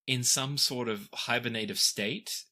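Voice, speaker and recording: A man runs a tabletop game as its narrator. His voice sits at a middle pitch with a bright edge, and he describes scenes in measured, improvised phrases, pausing as he goes. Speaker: game master.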